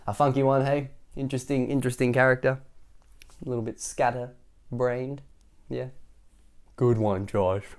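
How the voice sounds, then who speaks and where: A man's voice: mid-range voice, a little mellow. Storytime host, man with a cold, sniffly, chatty asides between pages. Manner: chatty, laughs and goes off on tangents. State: head cold, sore throat, sniffly. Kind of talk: reading a children's picture book aloud.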